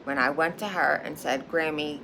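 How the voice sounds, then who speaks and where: A low-pitched woman with a Philadelphia accent, speaking emphatically and circling back to her points with a steady "okay?". Her casual monologue sits over a clearly audible background hiss. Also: normal speed. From Philadelphia, United States. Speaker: high priestess and psychic.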